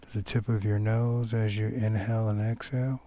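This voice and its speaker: A man leading a guided meditation outdoors in a gentle, calm, unhurried manner. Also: lowish voice. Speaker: meditation teacher.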